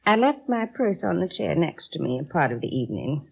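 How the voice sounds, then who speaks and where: Respectable female customer, lowish raspy voice, animated, flustered, emphatic, muffled vintage radio sound. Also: brisk, heavily acted. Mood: hesitant. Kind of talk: 1950s radio drama acting. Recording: dull old radio recording.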